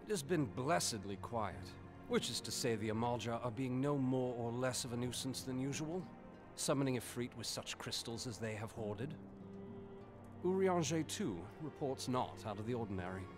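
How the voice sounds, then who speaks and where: Wry male fantasy rogue played by an actor, medium pitch, playful dry banter, game dialogue over clear music. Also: expressive, normal speed, close to an everyday voice. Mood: dry, relaxed. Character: wry, easygoing male fantasy adventurer and agent. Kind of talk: video game voice acting.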